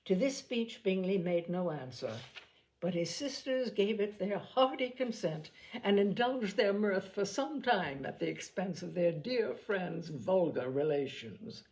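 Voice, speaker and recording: A woman reads literary fiction aloud as a storyteller. Her mid-pitched voice moves up and down with lively expression through the narration. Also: a little slow. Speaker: audiobook narrator.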